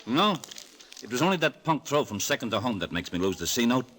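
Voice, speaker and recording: An actor playing a hard-boiled, grumbling sports bettor in an old-time radio drama, tough and slangy. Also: male voice, mid-range voice, very animated, fairly quick, a bit bright. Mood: sour, grumbling.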